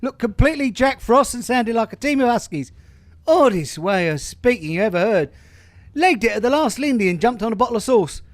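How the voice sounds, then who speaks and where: A man's voice: very high-pitched, sing-song, brisk, no room sound. A man playing a chirpy male London bus conductor with a put-on Cockney accent and rhyming slang, in an amateur radio play. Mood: chatty.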